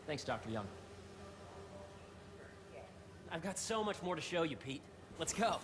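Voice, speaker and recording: An actor playing an eager young heir of a company, giving an upbeat, earnest tour in a video game cutscene. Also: male voice, fairly high pitch, background noise. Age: young adult.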